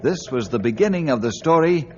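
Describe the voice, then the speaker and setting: A man's voice: a little high, lively intonation, brisk, darkish tone, natural voice. A man playing an earnest, plain-spoken forest ranger who narrates a 1950s radio adventure. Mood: somber. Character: earnest, steady adult male forest Ranger hero narrating. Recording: dull, noisy old radio recording.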